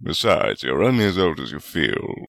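Man voicing a deep, hearty wizard ghost. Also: very animated, moderate pace, gravelly, fairly bright tone, dry recording, clean studio sound, full character voice. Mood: teasing. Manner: jovial, stubborn. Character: hearty, unrepentant, teasing, earnest male wizard spirit.

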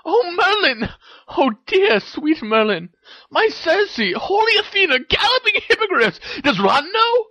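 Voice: very high-pitched, sing-song, measured pace, sharp tone, no echo, voice pushed up, heavily acted, low-quality recording. A reader voicing a teenage girl witch, a bossy and teasing best friend who speaks in emphatic exclamations, for an audio story. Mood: amazed, gleeful.

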